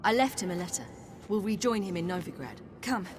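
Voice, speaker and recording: A woman's voice: medium pitch, expressive, a little fast, background noise, natural voice. An actress playing a headstrong, impatient young woman warrior who speaks bluntly and defiantly in a game cutscene. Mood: determined. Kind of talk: video game cutscene acting.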